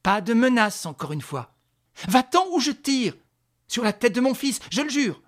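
A fierce mother in a dramatic novel, acted out by a male reader. She is urgent and commanding, defiant on behalf of her child. The voice has a husky grain. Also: a little high, expressive, unhurried, exaggerated voice. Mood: furious command.

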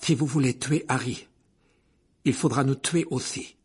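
Brief: injured teenage boy, defiant and insistent, as a narrator puts him on, slightly raspy. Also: medium pitch, unhurried. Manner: firm, insistent.

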